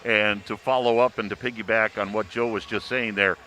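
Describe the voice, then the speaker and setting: A man's voice: on the low side, fairly even intonation, brisk, sharp tone, low-quality recording. A man giving sports analysis on a live broadcast in fluent, upbeat announcer phrasing. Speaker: play-by-play announcer and sports panelist. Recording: clear background noise.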